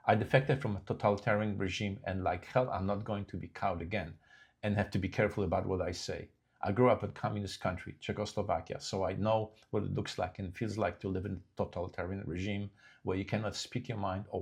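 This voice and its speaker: Deep-voiced older man, halting and unhurried. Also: fairly even intonation, vocal fry, breathy edge. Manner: slow, halting delivery with many restarts. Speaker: co-host. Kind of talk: casual chat.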